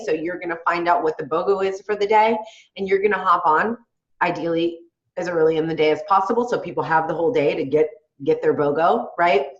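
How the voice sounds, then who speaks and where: A woman's voice: fairly low pitch, echoey room, lo-fi sound. A woman with a head cold, sounding congested, coaching her team on a call in a chatty way and often asking "right?". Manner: chatty, asks "right?" often, laughs between points. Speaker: team leader on a group call.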